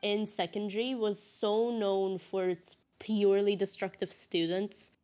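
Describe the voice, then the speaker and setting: Woman streamer just chatting, rambly and sweary, muffled lofi mic, medium pitch. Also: flat delivery, a little slow, darkish tone, clean tone. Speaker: game streamer. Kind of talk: casual chat. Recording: dull lo-fi mic.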